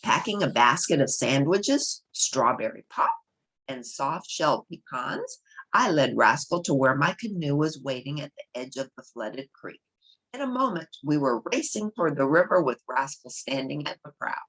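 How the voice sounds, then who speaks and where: A woman's voice: low voice, very animated, moderate pace, a bit bright, slightly rough, poor audio. A woman reading a children's novel aloud as a storybook narrator. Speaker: storybook reader.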